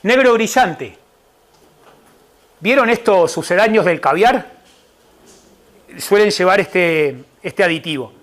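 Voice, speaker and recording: A middle-aged Argentine man lectures on nutrition with an Argentine Spanish accent. His voice sits fairly high for a man as he explains each point patiently. He keeps checking in with the room through quick asides. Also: expressive, fairly bright tone. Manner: explanatory, checks in with the audience by asking "¿verdad?" and "¿eh?". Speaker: nutritionist giving a public talk. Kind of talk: informal lecture to a live audience.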